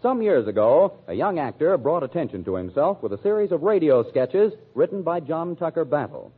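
A man's voice: fairly high pitch, big pitch swings, normal speed, slightly rough. A man announcing formally from a script in the style of 1940s radio, presenting a program. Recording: thin, phone-like old radio broadcast.

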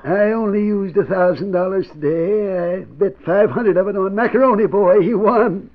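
An actor playing a cunning old man, nervous but defiant, with a thin voice and halting delivery in an old radio crime drama. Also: high voice. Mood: defiant, measured. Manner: halting, drawn-out delivery with hesitations. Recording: dull, band-limited vintage radio recording.

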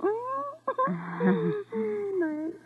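A woman's voice: very high-pitched, lively intonation, extremely slow, heavily acted. An actress playing a chatty, nosy, folksy landlady in an old radio drama, rambling and fussing with little mm-hmms. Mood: chatty, eager. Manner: rambling, fussy, peppered with mm-hmms. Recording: muffled old radio recording.

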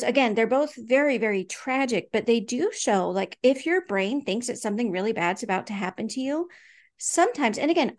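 A woman co-hosting a casual faith podcast, her voice sitting a little high. She talks earnestly and likes to tell stories, circling back with fillers and restarts as she goes. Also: a little mellow. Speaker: podcast co-host.

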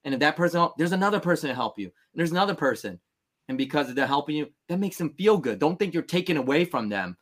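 A man's voice: a little high, animated, fast talker, a bit bright. Earnest motivational man, podcast guest. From Vietnam. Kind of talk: candid interview talk.

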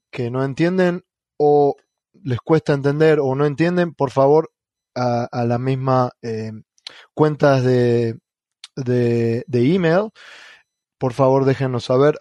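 A man's voice: mid-range voice, darkish tone, dry recording. Argentine man, hesitant podcast co-host. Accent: Argentine Spanish. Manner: hesitant, many fillers and restarts. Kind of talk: casual podcast chat.

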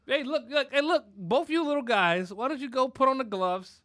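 A man hosting a podcast, ranting in a loud, profane, rambling way. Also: very high voice, moderate pace, no echo, clean studio sound. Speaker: podcast host. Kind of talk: profane casual podcast rant.

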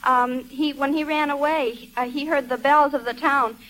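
A woman's voice: sits high, fairly even intonation, moderate pace, a bit bright. A girl answering questions as a panelist on a 1940s radio quiz. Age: child. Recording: noisy old 1940s radio broadcast.

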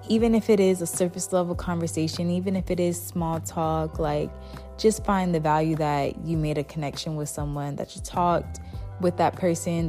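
A soft-spoken young woman hosting her podcast, chatting casually and rambling with lots of "like" fillers. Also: sits low. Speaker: podcast host. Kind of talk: casual solo chat.

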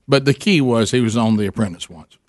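A man hosting a radio show, joking and bantering quickly and laughing easily. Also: medium pitch, darkish tone, no room sound, studio quality. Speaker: radio host.

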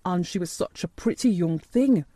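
A woman's voice: fairly low pitch, expressive, warm tone, no echo. A woman voicing a grumpy but tender old male gardener with a Yorkshire accent and dry manner in an audiobook. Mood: grumpy tenderness. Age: older. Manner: dry, grumpy, slow-spoken, dialect speech. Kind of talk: audiobook character dialogue.

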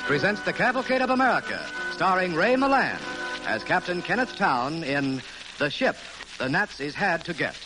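A high-voiced man makes a grand, formal radio introduction with very lively intonation. Loud music plays on the old recording. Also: moderate pace, rough recording. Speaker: announcer. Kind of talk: 1940s radio announcing.

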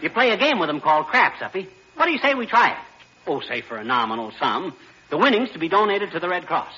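An American man playing a brash, folksy middle-aged husband and fast-talking schemer in 1940s radio comedy style. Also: high voice, very animated, pushed and bright, breathy edge, exaggerated voice. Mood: cajoling. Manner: folksy, slangy, swooping delivery. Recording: dull, noisy old 1940s radio recording.

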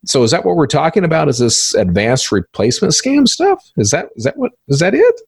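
A man's voice: a little high, wildly swooping pitch, brisk, creaky, husky, no room sound, studio quality. A man hosting a podcast in a casual interview style, speaking bluntly and colloquially with some swearing. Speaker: podcast host.

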